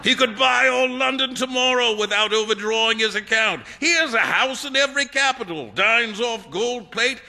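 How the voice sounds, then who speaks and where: Man acting flamboyant painter, piercingly bright, echoey hall. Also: extremely high pitch, moderate pace, gravelly, few pauses, pitched above the performer's own voice, exaggerated voice. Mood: amused boasting. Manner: theatrical, teasing, exuberant, slipping into French phrases. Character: flamboyant, amused male English painter, worldly and teasing. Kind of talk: acted storybook dialogue. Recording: echoey hall with long reverb.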